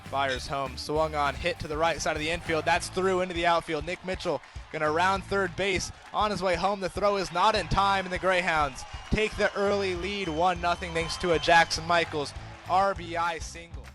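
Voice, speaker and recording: A young American man calling live sports play-by-play for radio in an excited, emphatic manner. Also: on the high side, normal speed, faint music behind. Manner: excited, emphatic, rising to shouts on big plays.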